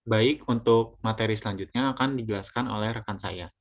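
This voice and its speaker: Halting young man presenting a proof. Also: lowish voice. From Indonesia. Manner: halting, with many fillers and self-corrections. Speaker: student presenter. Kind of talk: class presentation of a geometry proof.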